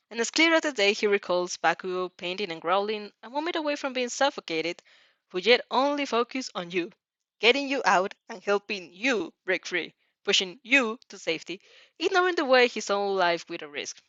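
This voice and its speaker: A woman narrates fan fiction aloud in a dramatic, slightly halting way. Her pitch is average, and the recording is dry and a little muffled. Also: expressive, fairly bright tone, dry recording.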